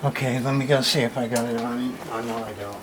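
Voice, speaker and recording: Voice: mid-range voice, steady tone, fairly quick. A person giving casual, hands-on unboxing commentary as a repair video host, muttering to themselves in short, fragmented remarks. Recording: noisy room with clear background noise.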